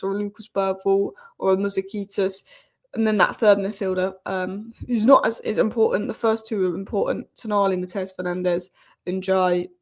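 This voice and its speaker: A young woman hosts a live football stream while fighting a cold, so she sounds sniffly and rough in the throat. She talks in a chatty, opinionated way, with lots of fillers and restarts. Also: medium pitch. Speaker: football channel host. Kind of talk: casual live-stream commentary.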